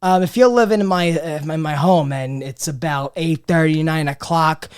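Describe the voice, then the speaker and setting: A man's voice: on the high side, normal speed, smooth. A man hosting a casual comedy podcast, talking in a rambling, profane way with many restarts while he is losing his voice. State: losing his voice, a bit under the weather. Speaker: podcast host.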